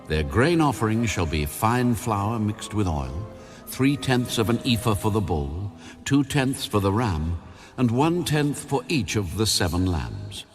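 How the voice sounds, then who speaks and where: A man narrating scripture in a measured, solemn, deliberate way, like an audiobook of the Bible. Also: lowish voice. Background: background music.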